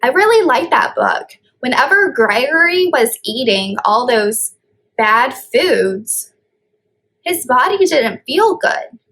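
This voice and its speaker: A woman's voice: high voice, big pitch swings, slow, fairly bright tone, rough recording. A woman hosting storytime as a children's librarian, reading aloud and explaining things in simple phrasing for young children.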